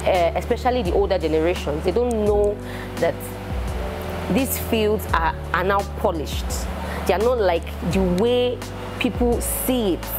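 A young Nigerian woman, a shoemaker, chatting in an interview in a shoe showroom and telling her story with animated, repeating phrases. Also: medium pitch, unhurried, music in the background. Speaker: shoemaker and entrepreneur. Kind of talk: casual interview chat.

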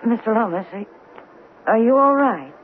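An actress playing an attentive, concerned office secretary in a 1970s radio drama. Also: female voice, fairly high pitch, animated, moderate pace, natural voice. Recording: narrow-band 1970s radio broadcast, faint noise.

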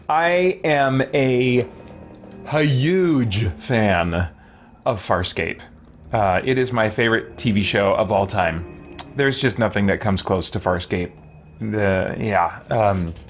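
A man, giving casual, rambling live gameplay commentary indoors at home. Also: medium pitch. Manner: chatty, rambling, animated fan talk. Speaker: game streamer. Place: small room at home, indoors. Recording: faint game sound behind voice. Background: video game ambience.

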